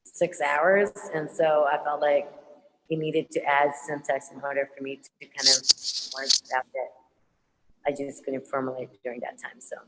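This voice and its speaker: A woman's voice: low-pitched, level tone, moderate pace, in a large hall, background noise. A woman whose first language is Tagalog, an artist answering an audience question on a panel, hesitant and full of ums and restarts. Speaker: muralist, visual artist panelist.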